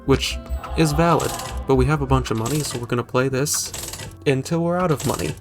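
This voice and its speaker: A man's voice: mid-range voice, fairly quick, music in the background. A man hosting a gaming video with casual, joking, self-deprecating commentary and some mild swearing.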